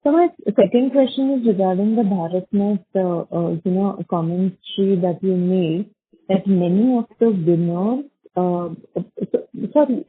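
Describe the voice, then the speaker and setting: A woman's voice: medium pitch, a little hiss or hum. A woman with an Indian accent asking questions as an analyst on an earnings call. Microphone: conference call phone line. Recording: narrowband phone line.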